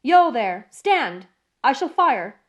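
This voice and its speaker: Woman putting on a gruff, commanding male coach guard. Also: high-pitched, lively intonation, moderate pace. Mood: roaring challenge. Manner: loud, commanding, suspicious. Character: gruff, wary male mail-coach guard, rough and threatening. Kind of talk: audiobook character voice.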